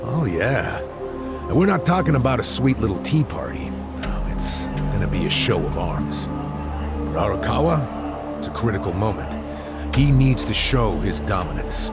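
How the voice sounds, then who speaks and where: A jaded middle-aged ex-cop in a game cutscene. The voice is low and very animated, sliding through sardonic, scheming turns, with loud music playing underneath. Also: male voice, moderate pace. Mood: needling. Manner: sardonic, casual, goading. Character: wry, jaded middle-aged male ex-detective, streetwise and scheming. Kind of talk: video game cutscene voice acting. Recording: dramatic music behind the voice. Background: loud background music.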